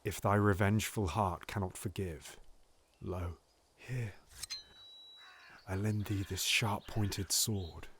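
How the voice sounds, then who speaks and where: A scheming nobleman with a silver tongue in a Shakespearean audio play. His delivery is grandly theatrical, moving from hushed, pleading persuasion to loud exultation. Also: sits low, takes it slowly, long pauses, slight background noise. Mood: ardent pleading. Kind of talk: Shakespearean audio drama acting.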